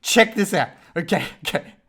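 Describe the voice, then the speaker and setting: A man's voice: high voice, lively intonation, normal speed, pushed and bright. A man giving casual reaction commentary on a video, blunt and profane, with laughing asides.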